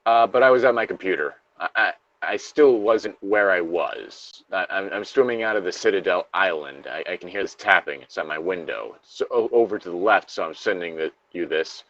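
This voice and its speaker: A man's voice: deep voice, normal speed, few pauses, a bit bright, dry recording, highs cut off. A man co-hosting a story-reading show, switching between informal, sweary banter and reading horror stories aloud. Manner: informal, profane.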